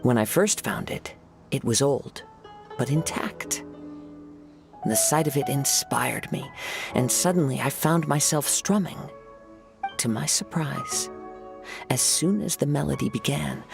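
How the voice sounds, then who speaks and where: Calm young wandering poet guy in a game, kinda creaky, soft n measured, music behind. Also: medium pitch. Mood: gentle, musing. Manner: poetic, measured, formal. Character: serene young wandering swordsman poet, wistful and polite. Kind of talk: anime game voice acting.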